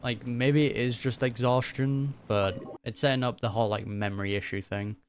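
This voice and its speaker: A man's voice: medium pitch, fairly even intonation, moderate pace, a little hiss or hum. A young man giving casual, slangy reaction commentary on a video game as he plays. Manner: laid-back, slangy. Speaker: gaming reactor.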